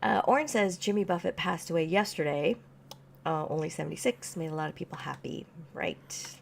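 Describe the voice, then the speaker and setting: A woman's voice: lowish voice, brisk, creaky, a little hiss or hum. A woman from Canada co-hosting a casual livestream chat at an off-grid cabin, reading viewer comments and laughing often. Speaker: livestream co-host.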